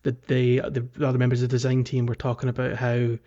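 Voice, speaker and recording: A man with a medium-pitched voice chats as a podcast co-host. His delivery is informal and hesitant, with phrases that stop and start as he works through a thought. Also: completely flat delivery, fast talker, mellow, dull audio. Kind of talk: casual video game podcast chat.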